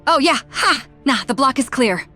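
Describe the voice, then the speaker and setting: A woman's voice: high voice, lively intonation, normal speed, sharp tone, soft background music. A woman acting a scrappy, cheeky young female lemur in a comic dub, breezy and slangy. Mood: cocky.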